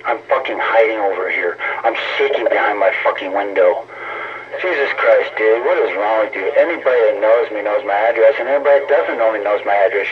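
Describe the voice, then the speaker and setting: A middle-aged American man arguing over CB radio in a sarcastic, taunting, profane manner. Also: fairly low pitch. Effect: CB radio transmission. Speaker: CB radio operator. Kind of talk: argumentative radio banter. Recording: tinny CB radio signal, faint static.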